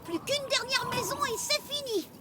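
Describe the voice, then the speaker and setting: A woman playing an excitable cartoon caveboy, boastful and easily scared, talking in dramatic exclamations. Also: extremely high pitch, moderate pace, heavy background noise. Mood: frightened. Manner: exclamatory, dramatic, mock-heroic. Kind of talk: animated children's cartoon dub. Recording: loud music behind the voice.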